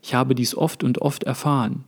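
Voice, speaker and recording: A man reading aloud as a wise, calm sage explaining his thoughts to a friend, in a measured, earnest way. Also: mid-range voice, natural voice. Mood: contemplative. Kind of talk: read-aloud literary dialogue.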